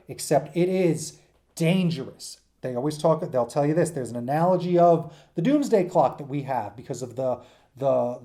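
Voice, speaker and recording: A man's voice: a little high, warm tone. A man giving an informal lecture to students in an enthusiastic, emphatic, rambling conversational way, as their teacher.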